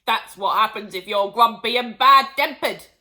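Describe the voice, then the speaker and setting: A woman voicing a gleeful, callous middle-aged farmer who booms out his lines with delight. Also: moderate pace, bright, forceful tone. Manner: gleeful, booming.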